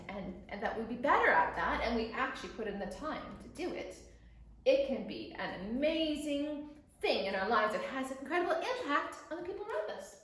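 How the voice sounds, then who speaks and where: Female children's teacher, expressive and emphatic, lively high voice, very reverberant space. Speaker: guest storyteller and children's teacher. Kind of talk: explanatory chat for children. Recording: very echoey room.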